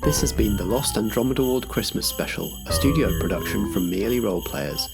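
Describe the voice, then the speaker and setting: A man's voice: fairly low pitch, animated, fast talker, vocal fry, over loud music. A man reading scripted credits as the announcer of an audio drama podcast. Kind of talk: scripted credits narration over music.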